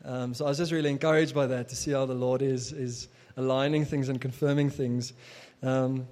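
A man's voice: medium pitch, steady tone, a little fast, darkish tone. A young adult man whose first language is Afrikaans, preaching a casual sermon as pastor, with many fillers and restarts.

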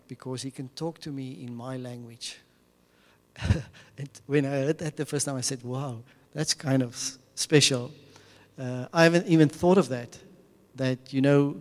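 A man with an Afrikaans accent giving an earnest mission talk to a church, restarting phrases and chuckling now and then. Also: medium pitch. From South Africa. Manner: earnest, repeats words and restarts, chuckles often. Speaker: mission speaker. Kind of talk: church mission presentation with slides. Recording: long hall reverb.

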